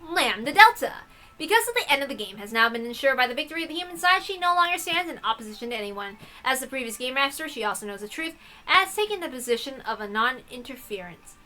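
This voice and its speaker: A woman's voice: high-pitched, big pitch swings, fast, sharp tone. A woman hosting a game playthrough, reading text aloud and adding playful, casual asides. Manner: playful, giggly, swearing asides.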